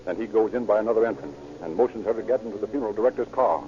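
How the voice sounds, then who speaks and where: An American man narrating an old radio crime drama in a grave, measured, suspenseful style. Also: lowish voice, slight background noise, lightly acted. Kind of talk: dramatic scripted radio narration, present tense. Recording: muffled old radio recording, music behind.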